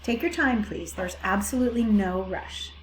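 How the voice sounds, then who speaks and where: A woman voicing a gentle, earnest young male piglet who speaks very politely and formally. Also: mid-range voice, normal speed, slight background noise, close to an everyday voice. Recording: echoey room.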